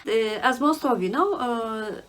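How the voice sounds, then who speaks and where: A middle-aged woman from Turkey with a Turkish accent, hosting her book channel and talking in a halting way, with many fillers between phrases. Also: a little high, a bit bright. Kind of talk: casual monologue to camera.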